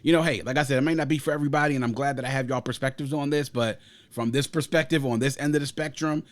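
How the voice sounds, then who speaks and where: A man's voice: medium pitch, steady tone, fast. Man hosting a rambling album chat. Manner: long rambling sports analogies; leads the discussion, asks others for picks. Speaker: podcast host. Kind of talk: casual music review chat.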